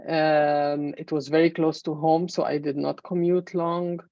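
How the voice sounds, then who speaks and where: A woman's voice: sits low, level tone, warm tone, no room sound. Egyptian woman giving an online talk. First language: Arabic. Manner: measured, explanatory, frequent fillers. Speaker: main speaker. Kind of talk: webinar presentation. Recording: dull, muffled webinar audio.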